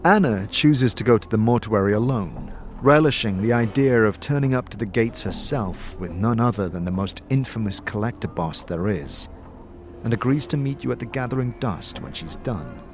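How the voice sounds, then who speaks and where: A man's voice: on the low side, expressive, moderate pace, soft background music. A man reading scripted fantasy narration in the second person, as a game master telling a story.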